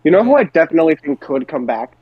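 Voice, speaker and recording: A man's voice: fairly high pitch, very animated, fast talker, breathy edge. A man co-hosting a podcast, chatting casually with friends over slightly dull audio. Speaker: podcast co-host. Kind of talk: casual group chat.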